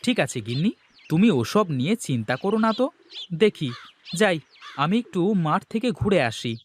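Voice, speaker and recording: A man's voice: sits high, big pitch swings, normal speed, a little hiss or hum. A man playing a practical, reassuring village husband and elder brother in a Bengali comic cartoon.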